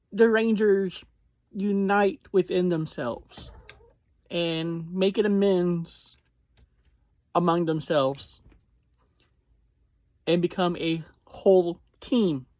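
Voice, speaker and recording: A podcast host gives a casual, conversational review at a slow pace. The delivery is halting, broken into short phrases. The voice sits at a medium pitch and is recorded close and dry, with very little room sound. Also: fairly even intonation, a little mellow, dry recording. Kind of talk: casual episode review and recap.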